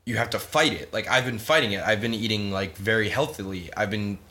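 A man's voice: on the low side, brisk, pushed and bright. A young man co-hosting a podcast, fighting a cold, rambling with lots of fillers and getting defensive. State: has a cold. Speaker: podcast co-host. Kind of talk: casual podcast chat.

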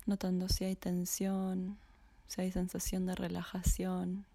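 A woman slowly guiding a body scan meditation in a soft, half-whispered voice. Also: lowish voice, completely flat delivery, creaky, dry recording. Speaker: meditation guide.

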